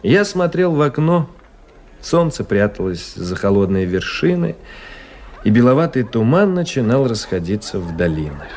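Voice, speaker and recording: An actor playing a cultured gentleman traveler, giving measured, literary narration and dialogue in a radio play. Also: mid-range voice, sing-song, a little hiss or hum. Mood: wry, observant. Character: cultured young gentleman officer, traveling writer telling his story.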